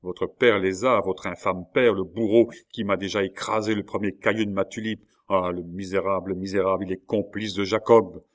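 A man's voice: low-pitched, steady tone, moderate pace, few pauses, lightly acted. Man acting a desperate, raging prisoner. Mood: frantic rage. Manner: impassioned, vehement, despairing. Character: desperate, raging male prisoner, passionate tulip grower.

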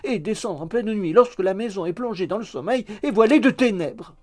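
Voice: mid-range voice, animated, brisk, darkish tone, voice pushed up, lightly acted. A reader voicing a coy, prudish spinster maid in a story, theatrically faint and scandalized.

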